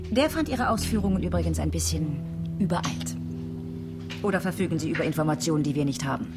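An actress playing an assertive police commissioner in a radio drama, speaking in a dry, pointed, naturalistic way. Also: female voice, deep voice, animated, fast talker, over loud music. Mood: pointed, challenging.

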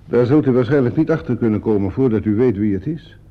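A man's voice: mid-range voice, quick pace, lightly acted. An actor playing an irritable, embittered theatre man under suspicion in a radio play, curt and aggrieved. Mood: indignant. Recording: muffled old radio play recording.

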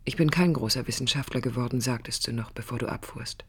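A woman's voice: a bass voice, fast talker, slightly rough. An actress playing a lonely, pensive young woman who speaks intimately and wistfully to her absent brother in a radio drama. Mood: tender, reflective. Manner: introspective, wistful, lyrical.

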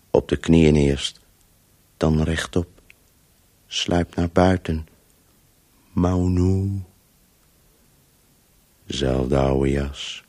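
An exhausted, obsessive storyteller in a radio play. His voice is extremely deep and monotone, doling out short fragments very slowly as he urges himself on. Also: heavily acted. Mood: weary, narrating. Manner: fragmented, terse, monotone, urging. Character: weary, driven inner voice of a man compulsively storytelling. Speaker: radio drama actor. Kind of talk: Dutch radio play monologue.

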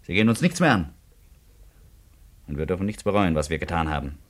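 An actor in a German radio play playing an agitated, guilt-ridden newlywed husband and murderer, desperate and domineering, with intense delivery. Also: male voice, sits low, lively intonation, fairly quick. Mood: urgent pleading. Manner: intense, emotional, swinging from hushed to outbursts.

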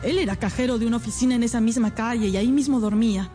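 A woman's voice: fairly high pitch, racing, dark tone, soft background music, close to an everyday voice. An actress playing an anxious, naive and devoted young woman in a radio drama, speaking earnestly with hesitant repetitions. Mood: confiding. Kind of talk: Spanish-language radio drama acting.

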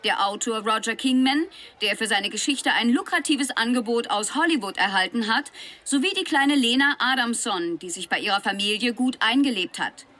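A brisk female news correspondent in a radio drama. Her voice is high, and she announces formally in measured, reporting phrases. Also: expressive, sharp tone. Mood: solemn, brisk.

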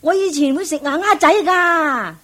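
Reformed demon mother, high-pitched, friendly, chatty, exclamatory, radio drama. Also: moderate pace, sharp tone. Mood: cheerful, candid. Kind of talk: Cantonese radio drama acting.

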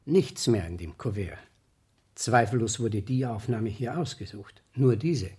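An actor playing a deferential, somewhat flustered photo studio boss in a radio play. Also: male voice, lowish voice, lively intonation, measured pace, a little mellow. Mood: brisk, defensive. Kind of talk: 1970s German radio play acting.

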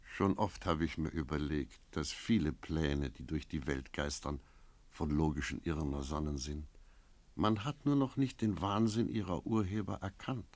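An actor playing a cynical, brooding innkeeper in a German radio play, reflective and skeptical. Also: male voice, a bass voice, moderate pace, darkish tone, no room sound. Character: cynical male innkeeper, brooding first-person narrator.

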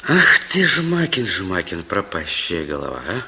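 An actor playing a world-weary police detective in charge, commanding, in a Soviet radio play. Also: male voice, deep voice, wildly swooping pitch, measured pace, sharp tone, exaggerated voice. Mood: rueful, businesslike.

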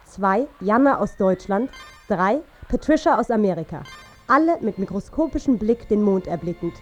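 Radio drama, actress as solemn woman astronaut, formal declaiming, mid pitch, music underneath. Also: lively intonation, normal speed, lightly acted. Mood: listing, formal. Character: solemn female astronaut of a feminist space corps. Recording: dull sound over music.

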